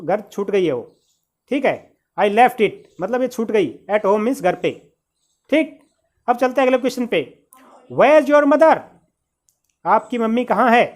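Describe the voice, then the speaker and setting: A man from Haryana, teaching beginner spoken English. He patiently repeats each phrase and calls his listeners "brother". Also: very high-pitched.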